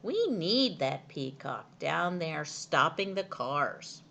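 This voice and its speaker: A woman's voice: sits low, very animated, slow pace, vocal fry, noisy background, exaggerated voice. A woman voicing a practical male farmer from a children's storybook in a matter-of-fact way. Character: practical male farmer puzzled by his birds. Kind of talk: children's storybook character reading.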